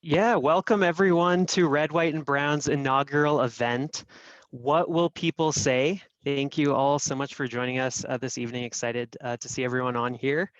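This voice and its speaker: A man's voice: mid-range voice, steady tone, moderate pace, dry recording, dull audio. A man co-hosting an online event in a casual, filler-heavy way over a video call. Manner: hesitant, filler-heavy delivery. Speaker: event co-host. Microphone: video call microphone.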